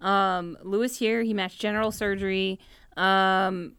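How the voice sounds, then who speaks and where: A woman's voice: mid-range voice, steady tone, slow pace, fairly bright tone, smooth, no echo. A woman co-hosting a podcast in casual chat, enthusiastic and playfully teasing with her guest. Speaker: podcast co-host, medical student.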